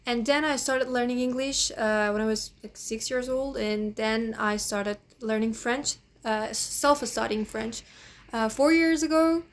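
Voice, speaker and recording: A sixteen-year-old girl from Iran with a Persian accent, chatting casually and haltingly, often saying "you know". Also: a little high, fairly even intonation. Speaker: host, language teacher. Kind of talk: casual chat.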